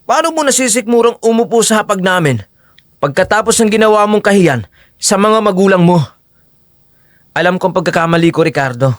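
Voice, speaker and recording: A man's voice: sits high, no echo, studio quality, close to an everyday voice. A man acting the young brothers and friend of a Filipino audio drama with emphatic, theatrical delivery. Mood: subdued, sincere.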